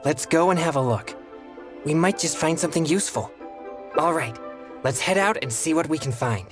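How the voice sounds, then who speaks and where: An actor playing a polite, solemn teenage boy exorcist in a video game, speaking in a measured, formal way with a self-doubting streak. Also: on the high side, close to an everyday voice. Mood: earnest. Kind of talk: video game character dialogue. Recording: game music behind the voice.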